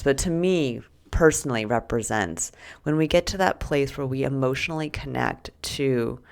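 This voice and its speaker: Low gravelly woman, losing her voice. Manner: gentle, reflective, with fillers and soft asides. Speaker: tarot reader. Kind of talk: weekly tarot reading to camera.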